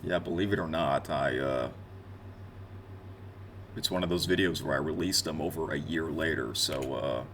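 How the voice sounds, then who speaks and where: A man in his twenties with a deep, low-pitched voice. He rambles conversationally, speaking straight to his viewers like a streamer looking back on his year. Faint noise sits behind the voice. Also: moderate pace, pauses often, fairly bright tone. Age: 20s. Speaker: gaming content creator and streamer. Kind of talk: casual unscripted year-in-review vlog talk.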